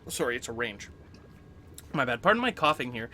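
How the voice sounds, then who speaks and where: Young male host with a cold, bright and chatty, narrating gameplay as he plays. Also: medium pitch, sing-song, brisk, slight background noise. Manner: chatty, upbeat, frequent throat clearing. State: getting over a cold, coughing. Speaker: let's play host. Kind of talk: casual gameplay commentary.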